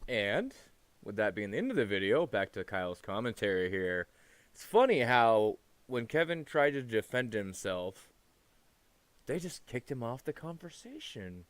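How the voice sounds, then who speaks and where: A man's voice: mid-range voice, very animated, normal speed. A man giving unscripted, opinionated commentary as a YouTuber, rambling, repeating himself and swearing. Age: adult past his teens. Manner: rambling, repetitive, profane.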